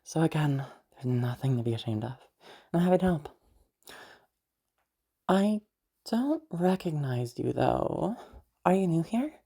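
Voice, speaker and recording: A low, mellow voice, a woman acting it out as a caring, slightly witchy party host in an audio roleplay. She is soft and husky, speaking straight to the listener with teasing playfulness and rambling, soothing reassurance. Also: very animated, unhurried, long pauses, heavily acted. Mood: warm, comforting.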